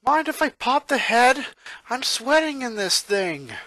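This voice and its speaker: Man doing a sarcastic high-voiced grumbling boy. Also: sing-song, unhurried, pushed and bright, no echo, exaggerated voice. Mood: sarcastic, annoyed. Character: eleven-year-old boy, sarcastic, reluctant sidekick.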